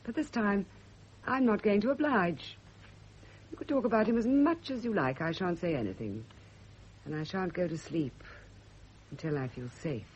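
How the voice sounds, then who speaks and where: A woman's voice: on the low side, moderate pace, pauses often, darkish tone, natural voice. An actress playing a bitter, defiant woman on edge in a British radio drama, clipped and cutting in manner. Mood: defiant, hostile. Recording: dull, noisy old radio drama recording.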